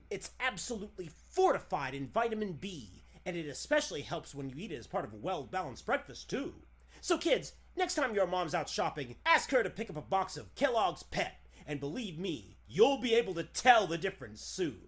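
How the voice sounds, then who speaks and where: A man's voice: high voice, a bit bright, husky. A man announcing and narrating in the emphatic, theatrical style of a 1940s radio show.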